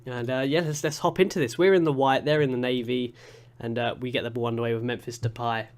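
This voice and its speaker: A young man with a congested, sniffly voice from a cold, chatting through a football management game with excitable play-by-play commentary. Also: mid-range voice, fast talker. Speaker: gaming YouTuber.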